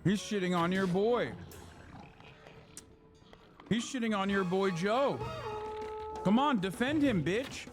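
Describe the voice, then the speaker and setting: A man's voice: sits high, takes it slowly, pauses often, music in the background. A man, a streamer giving blunt, profane, mocking reaction commentary. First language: Turkish.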